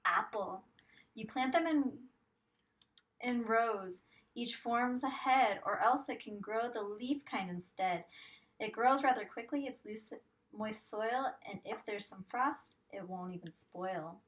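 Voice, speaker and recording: Lady teacher doing a kids read-aloud, kinda high sing-song voice, bit far from the mic. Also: slow pace. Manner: expressive sing-song reading, addressing children. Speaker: teacher reading aloud to young pupils. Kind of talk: picture-book read-aloud with guessing questions.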